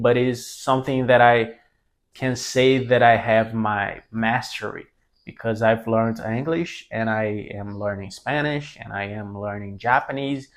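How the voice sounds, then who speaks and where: A young man with a Brazilian Portuguese accent speaks to the camera in easy, unscripted talk. His English is not his first language, so he pauses and restarts often. His voice sits at an ordinary middle pitch. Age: 20s. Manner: halting, many restarts and self-corrections. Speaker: language mentor.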